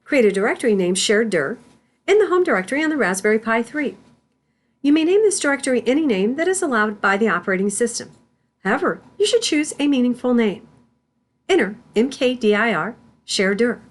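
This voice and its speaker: A woman instructor reading scripted tutorial narration in a deliberate, step-by-step manner. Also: on the high side, sing-song. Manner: deliberate, spells out terms symbol by symbol.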